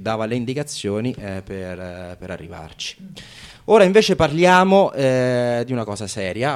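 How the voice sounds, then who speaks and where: A man hosting an informal radio show from a studio, talking in a halting, wryly joking way. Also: medium pitch, smooth. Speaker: radio host.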